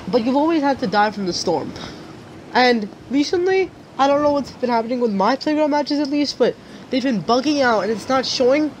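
A gaming channel host with a raspy voice and a sore throat, talking through a gameplay tutorial in a rambling, chatty way. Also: medium pitch, a little hiss or hum, poor audio.

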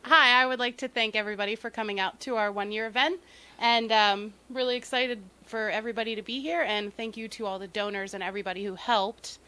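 A woman in her thirties with a bright, slightly high voice gives an upbeat thank-you speech. She speaks into a handheld mic at a small business event. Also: fairly even intonation, quick pace. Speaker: business owner's wife.

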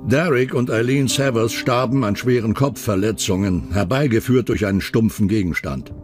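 A man's voice: on the low side, normal speed. A man narrating a true-crime documentary in a dramatic, suspenseful scripted style. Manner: suspenseful, rhetorical questioning. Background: background music.

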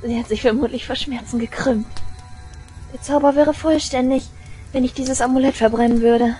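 A woman playing an obsessive, vengeful young shrine maiden in a German audio drama, eerily tender, with breathy whispers and slow menace. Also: high voice, steady tone, mellow, faint music behind, rough recording. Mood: gloating, unhinged.

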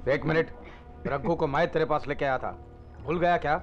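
A man's voice: fairly high pitch, normal speed, lightly acted. Scornful underworld middleman played by a young man, muffled. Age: young adult. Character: disapproving, loyal underworld middleman. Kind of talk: Bollywood gangster film spoof acting. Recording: muffled audio with background music.

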